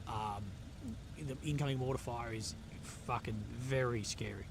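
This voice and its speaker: Man, live-stream host, mid-pitched voice, blunt and casual, noisy outdoor feed with traffic behind. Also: moderate pace, creaky. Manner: blunt and swearing, many fillers and restarts. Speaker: livestream host reading chat questions. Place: outdoors in a conflict zone.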